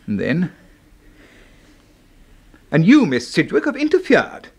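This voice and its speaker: An actor with an English accent playing a pompous, deranged military boss in a 1970s radio horror drama, clipped and commanding. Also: male voice, on the high side, huge pitch swings, slow pace, vocal fry, husky, full character voice. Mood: grandiose, menacing. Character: pompous, deranged brigadier boss, secretly a serial killer.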